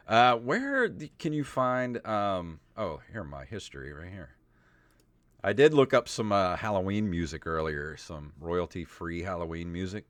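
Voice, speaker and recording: Male podcast host, low and unhurried, very animated intonation, a bit tired, casual comedic delivery. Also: dry recording. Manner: theatrical, drawn-out dramatic reading of stories. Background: background music.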